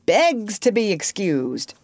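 A woman's voice: on the high side, big pitch swings, measured pace, no room sound, voice pushed up. Woman acting a blustering, theatrical father in a temper. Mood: sarcastic. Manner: pompous, sarcastic, melodramatic. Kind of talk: audiobook character voice.

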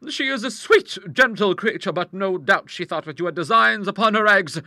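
A man voicing a brisk, outspoken middle-aged English aunt in a storybook, hearty, emphatic and used to commanding. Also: sits high, gravelly, sharp tone, no echo, clean studio sound, pitched above the performer's own voice, exaggerated voice. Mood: reassuring. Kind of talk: storybook character voice in an audiobook.

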